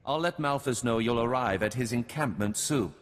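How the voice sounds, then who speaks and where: An actor playing a formal, businesslike baron in a video game, with a courtly manner. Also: male voice, medium pitch, moderate pace, close to an everyday voice. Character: formal, businesslike noble baron, male patron. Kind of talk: scripted video game voice acting. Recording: long hall reverb.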